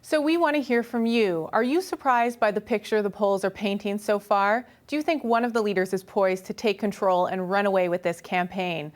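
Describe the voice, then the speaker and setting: A woman hosting a web news show, reading crisp scripted links and chatting conversationally with a guest on a studio mic. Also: mid-range voice, normal speed, few pauses. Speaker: host.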